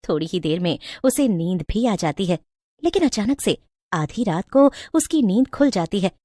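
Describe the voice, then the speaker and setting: A woman's voice: medium pitch, lively intonation, normal speed, dark tone, dry recording. A woman narrates a scripted moral story. She works as the storyteller, and her voice is recorded very dry and close.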